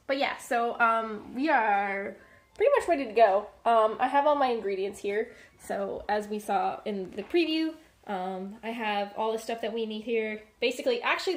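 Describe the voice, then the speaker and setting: Stuffy-nosed woman chatting while she cooks. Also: on the high side. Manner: chatty and rambling, many fillers and self-corrections. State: stuffy, slightly nasal from a cold. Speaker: cooking stream host. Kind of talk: casual live-stream chat while cooking. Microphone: low-set streaming mic.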